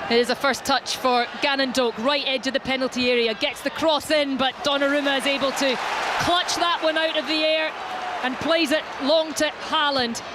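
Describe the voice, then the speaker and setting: Man, very high voice, play-by-play football commentator, roaring crowd and steady noise under him. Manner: fast, rising calls over the action. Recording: loud stadium crowd and noise behind.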